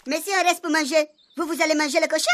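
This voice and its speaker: A woman's voice: high voice, steady tone, fast, fairly bright tone, a little hiss or hum. A woman with a Réunion Creole accent playing a polite, eager alien hosting humans in a comic radio serial. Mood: eager, insistent.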